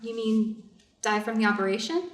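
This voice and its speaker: A fairly high female voice carries from some distance across an echoey room. It is a woman acting a doctor's wife in a readers' theater drama, dutiful and anxious. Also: expressive, moderate pace, lightly acted. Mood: firm.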